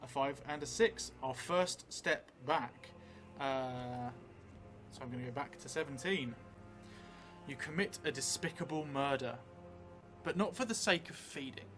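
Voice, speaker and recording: A middle-aged man speaks very slowly at a medium pitch, with music playing behind him. He improvises a tabletop game story aloud, stopping often between short phrases as if composing and writing it down. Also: a bit bright. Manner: halting, pausing between phrases while writing. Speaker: solo tabletop role-play streamer. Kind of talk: unscripted game narration, thinking aloud. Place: indoor room, seated at a table.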